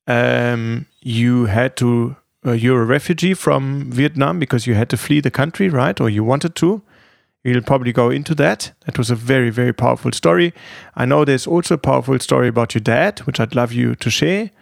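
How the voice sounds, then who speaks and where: A man's voice: medium pitch, moderate pace, gravelly, no room sound, studio quality. A man hosting an interview podcast, introducing his guest and prompting him conversationally. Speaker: podcast host.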